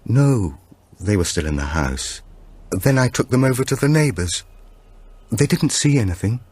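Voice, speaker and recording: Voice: mid-range voice, sing-song, moderate pace, a little mellow, breathy edge, voice pushed up. A man voicing an earnest grey-haired woman who runs a preschool, recounting in an audiobook thriller. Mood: earnest, sombre.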